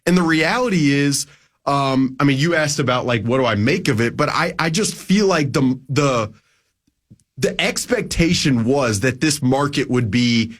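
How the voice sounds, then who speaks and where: A man's voice: medium pitch, expressive, normal speed. A man in his twenties talking casually as a sports reporter guest on a radio show, rambling with lots of fillers and restarts. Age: 20s.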